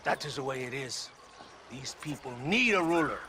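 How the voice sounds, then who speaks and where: A man's voice: medium pitch, expressive, normal speed, background noise, natural voice. A man playing a menacing, pompous Mexican military tyrant in a game cutscene, theatrical and mocking, with a Mexican accent. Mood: grandiose. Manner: theatrical, sneering, mixes Spanish into English. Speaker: video game character actor. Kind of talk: dramatic game cutscene acting.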